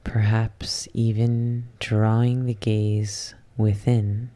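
A soft-spoken meditation guide leading an ASMR yoga nidra session in a soothing, unhurried manner with long pauses. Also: mid-range voice, level tone.

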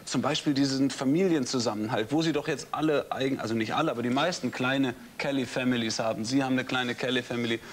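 Man, 30s, TV talk show host, studio, attentive, mid pitch, faint hum. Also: fairly even intonation, fast talker, hardly any pauses. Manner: attentive, with frequent murmured acknowledgments. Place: TV studio with audience.

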